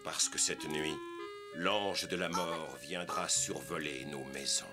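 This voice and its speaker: A man voicing a resolute, solemn prophet in an animated film, speaking gravely and earnestly for his people. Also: extremely low pitch, slow pace, loud music behind the voice.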